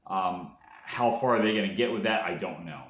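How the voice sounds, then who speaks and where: A man giving an unscripted political commentary monologue in a halting, rambling way, as if thinking aloud. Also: deep voice, fairly bright tone. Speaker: solo commentator. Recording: echoey, reverberant room.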